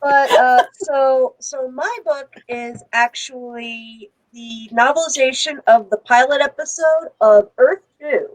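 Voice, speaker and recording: A woman's voice: high voice, slow, few pauses, low-quality recording. A woman chatting casually as a panelist on a video call.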